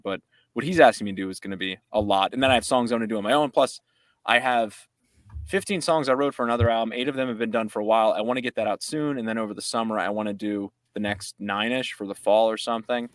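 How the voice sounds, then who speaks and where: Chatty musician guy going on about his track, bit low voice, dead dry sound. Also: fairly even intonation, fast talker, a bit bright, no room sound. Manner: rambling, upbeat, chatty storyteller. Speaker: musician guest. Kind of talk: casual chat.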